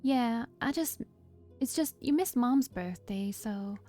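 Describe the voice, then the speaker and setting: A woman's voice: fairly high pitch, expressive, normal speed, warm tone, faint music behind, natural voice. A woman voicing a sweet, hesitant, earnest young girl in a story reading.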